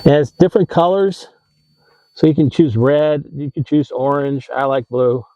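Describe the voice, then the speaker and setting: A man with a mellow, easygoing voice gives a casual how-to explanation. He talks conversationally and a little haltingly. The sound is dry and slightly dull, with very little room on it. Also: on the high side, no echo. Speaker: vlog host giving RV tips.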